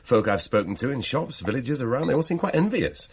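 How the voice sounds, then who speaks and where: A man's voice: medium pitch, big pitch swings, brisk, husky. An actor playing an exasperated, stubborn middle-aged British father in a radio play, colloquial, wry and defensive. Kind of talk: naturalistic radio drama acting.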